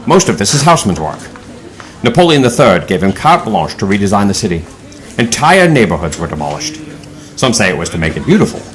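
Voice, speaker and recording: A man's voice: lowish voice, sing-song, a little fast. A man playing a genial, learned older leader of adventurers in an audio drama, speaking in an erudite manner. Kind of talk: audio drama acting and narration.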